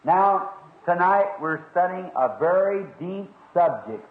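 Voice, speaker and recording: A hoarse man preaching a sermon in a folksy, earnest way. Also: high-pitched, animated, slow pace. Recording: lo-fi noisy old tape, roomy.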